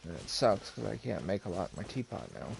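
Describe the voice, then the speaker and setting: Gravelly-voiced man streaming a game. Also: low-pitched, big pitch swings, fast talker, very noisy. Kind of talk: casual live gameplay commentary. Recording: game music loud behind voice. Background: loud game music and effects.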